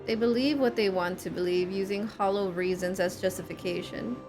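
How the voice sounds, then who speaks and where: A woman voicing a brooding, disillusioned young man from a game, in grave, measured, formal phrasing. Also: medium pitch, steady tone, over music, natural voice. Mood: grave, bitter. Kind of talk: reading game cutscene dialogue aloud.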